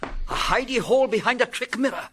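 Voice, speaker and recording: An actor playing an excitable, exasperated British police inspector, blustering through a vintage-style radio drama. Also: male voice, very high-pitched, brisk, noisy background. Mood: astonished. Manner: blustering, astonished.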